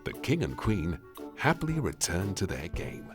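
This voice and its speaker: A man narrating a children's storybook in an expressive, dramatic and deliberate scripted style. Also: low-pitched, over music.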